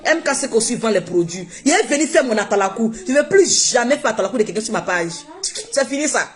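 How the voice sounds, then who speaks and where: A woman's voice: fairly high pitch, lively intonation, quick pace. A woman whose first language is not French, speaking emphatically and repeating herself in a casual live-stream monologue on her phone. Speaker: social media live streamer.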